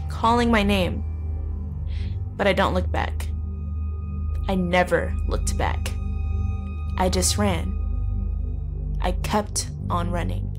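Woman playing a shaken, halting teenage girl, music behind. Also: fairly low pitch. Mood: shaken, haunted. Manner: halting, confessional, conversational delivery. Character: terrified teenage girl recounting a horror she fled. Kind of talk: first-person horror audio drama narration.

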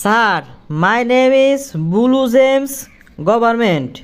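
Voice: mid-range voice, takes it slowly, clean tone, lightly acted. A performer voicing a cheeky, literal-minded village job applicant in a comedy cartoon, with a Bangladeshi accent and eager, colloquial speech. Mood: earnest, cheeky. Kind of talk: comic cartoon dialogue.

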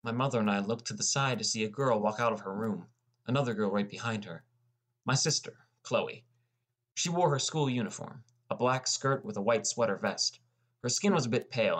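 A man's voice: fairly low pitch, a bit bright. A man playing a sullen, defensive teenage boy who narrates his own story in a scripted audiobook.

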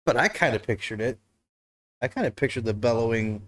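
A man's voice: mid-range voice, animated, brisk, fairly bright tone. Laughing, profane man hosting a stream. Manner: laughs often, loose and profane banter. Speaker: talk show host. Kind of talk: casual livestream chat. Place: living room on a couch.

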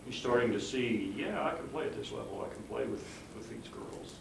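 Man, casual reporter, fry in the voice, noisy roomy recording. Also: mid-range voice, animated, fairly quick. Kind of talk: casual press questioning. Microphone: off-camera, away from mic. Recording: distant, echoey, noisy off-mic voice.

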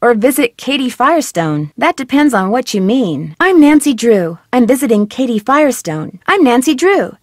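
A plucky young girl detective from a video game, inquisitive and friendly, with a fairly high, lively voice. She is recorded close and dry in a studio. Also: moderate pace, few pauses, dry recording. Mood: curious. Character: young female amateur detective, curious and upbeat. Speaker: game protagonist and tutorial guide.

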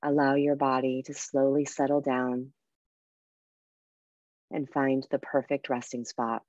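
A woman guiding a meditation and breathing exercise in a soft, unhurried, soothing way with pauses. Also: rumbling low, flat delivery, no echo, highs cut off. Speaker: meditation guide and coach. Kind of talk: guided meditation with breathing instruction.

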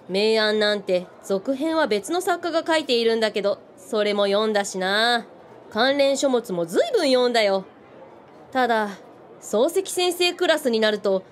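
An actress playing a tough, bookish woman who talks bluntly in rough, mannish words, in a comic radio drama. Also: fairly high pitch, a little hiss or hum, lightly acted. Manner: blunt, teasing, casual rough wording.

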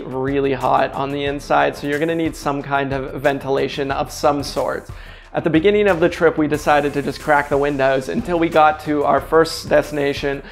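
A chatty young man with a slightly high, gravelly voice tells travel stories straight to camera. His talk is casual and upbeat, full of fillers. A lapel mic catches him close, with faint music playing underneath. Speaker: travel vlogger. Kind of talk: casual direct-to-camera vlog. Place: indoors in a bright kitchen.

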